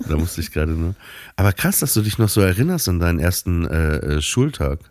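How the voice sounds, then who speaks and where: A man co-hosting a relaxed bedtime podcast, telling youth memories in a halting way with many restarts. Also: low-pitched, no room sound, studio quality. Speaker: podcast co-host.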